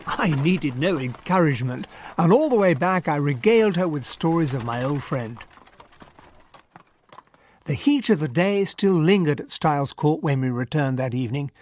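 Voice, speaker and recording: A male actor plays a gentlemanly English captain in a period radio drama. He is polite and well-spoken with an English accent and a very mobile intonation, over faint noise. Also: a little high, lively intonation, moderate pace, lightly acted. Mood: reflective narration. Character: genial, gentlemanly English army captain narrating his memories. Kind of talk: radio drama acting and first-person narration.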